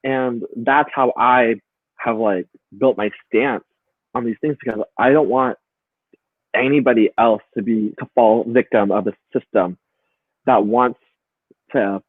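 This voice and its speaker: A man's voice: mid-range voice, takes it slowly, long pauses, no echo. A man speaks earnestly and with emphasis, often breaking into laughter as he talks. His voice comes through a tinny, phone-like line. The sound is dry and close, with no room around it. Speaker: guest. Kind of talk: casual chat.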